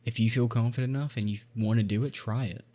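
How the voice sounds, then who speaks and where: A man's voice: medium pitch, fairly even intonation, quick pace, dark tone. A young man chatting on a podcast in an earnest, encouraging way, hesitating with ums as he talks. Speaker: YouTuber and podcast co-host. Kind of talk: casual chat.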